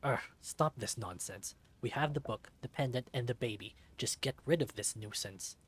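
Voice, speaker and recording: A man's voice: mid-range voice, moderate pace, breathy edge, close to an everyday voice. A man voicing a villainous creature boss in a visual novel, cold, commanding and impatient. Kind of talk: visual novel character acting.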